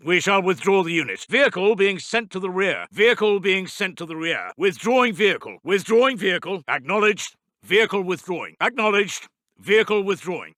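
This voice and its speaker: A man's voice: high-pitched, bright, forceful tone, dry recording, studio quality, full character voice. A man playing a stern, zealous wartime intelligence officer in a strategy game, giving formal, clipped, martial announcements. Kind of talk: scripted game unit announcements.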